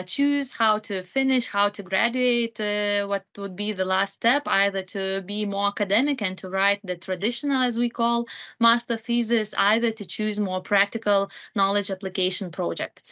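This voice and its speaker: A woman's voice: medium pitch, a little slow, pushed and bright. Hesitant woman presenting a study program. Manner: hesitant, many fillers. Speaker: program coordinator. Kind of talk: informational presentation.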